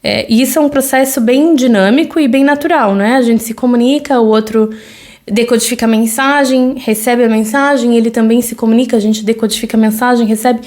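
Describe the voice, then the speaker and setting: A woman's voice: fairly high pitch, fairly even intonation, a little fast, a little mellow. Brazilian woman chatting on her podcast. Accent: Brazilian Portuguese. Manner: conversational, with frequent fillers and tag questions. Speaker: podcast host. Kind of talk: solo podcast monologue.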